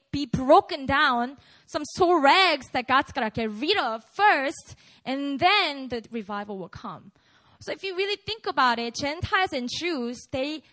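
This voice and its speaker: A woman's voice: high-pitched, sharp tone. A Korean woman pastor, a native Korean speaker, giving a casual, conversational sermon at a prayer meeting, with many fillers.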